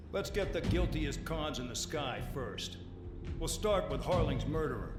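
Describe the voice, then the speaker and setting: An actor playing a cynical, domineering military commander of a penal unit in a video game, speaking bluntly and with contempt. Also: male voice, a little high, close to an everyday voice. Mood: brisk, unfazed. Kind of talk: video game cutscene acting. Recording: echoey room under loud music.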